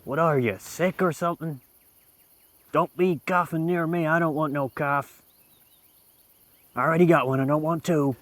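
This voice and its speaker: A man's voice: a little high, unhurried, long pauses, slight background noise. A man playing a rambling Old West outlaw. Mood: grumpy. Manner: rambling, deadpan storytelling. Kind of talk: roleplay in a western game.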